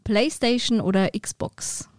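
A woman's voice: a little high, slow pace, no room sound, clean studio sound. A woman hosting a podcast, welcoming her guest and asking questions in an upbeat way. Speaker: podcast host.

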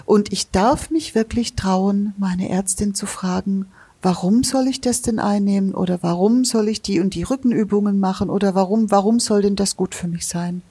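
A woman's voice: medium pitch, fairly even intonation, normal speed, dark tone, slightly rough. A woman, a patient advisor explaining things in a podcast interview, with frequent restarts and examples.

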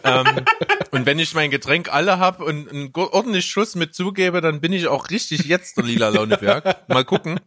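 Higher-voiced guy hosting a casual podcast. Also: lively intonation, normal speed, fairly bright tone, dry recording, studio quality. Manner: jokey, colloquial. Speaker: podcast host. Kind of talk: casual chat.

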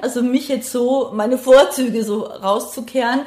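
A woman's voice: fairly high pitch, fairly even intonation, measured pace, darkish tone, a little hiss or hum. A middle-aged woman, talking casually and reflectively as an interview guest, hesitant as she thinks aloud. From Passau, Bavaria, Germany. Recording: roomy, echoey sound.

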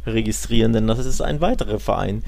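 A man's voice: on the low side, fast talker, creaky, a little mellow, a little hiss or hum. A man co-hosting a sports podcast, chatting casually and thinking aloud in a conversational, slightly hesitant way. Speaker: football podcast co-host. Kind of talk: casual chat, sports commentary.

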